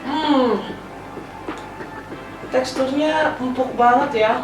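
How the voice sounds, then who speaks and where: A chatty young woman hosts a podcast with a slightly high voice and slips in English phrases. She sits back from the mic in an echoey room, with music playing behind her. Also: very slow, recorded from a distance. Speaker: podcast host. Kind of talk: casual podcast interview.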